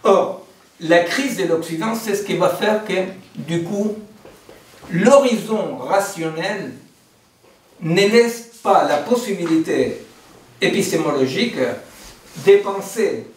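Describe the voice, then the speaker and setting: A man's voice: high voice, expressive, takes it slowly, pauses often. A man from Argentina, giving a philosophy seminar lecture, digressive, with many fillers and rhetorical questions. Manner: digressive, frequent fillers and rhetorical "n'est-ce pas". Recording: roomy, distant mic.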